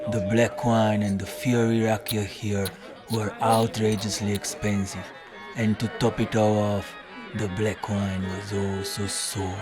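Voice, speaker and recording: An actor playing a battered, hard-drinking detective, narrating a noir audio drama in a deadpan, world-weary, wry way. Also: male voice, on the low side.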